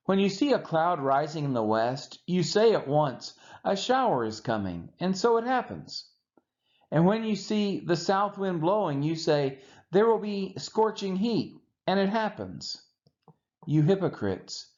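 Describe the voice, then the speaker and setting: A man reads scripture aloud in an expressive, measured and emphatic way, as a Bible reader for a church read-along. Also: fairly high pitch. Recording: dull, muffled lo-fi audio.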